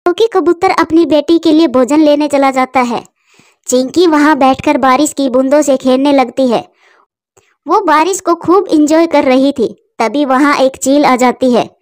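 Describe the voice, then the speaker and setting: High-pitched woman narrating a children's story. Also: unhurried.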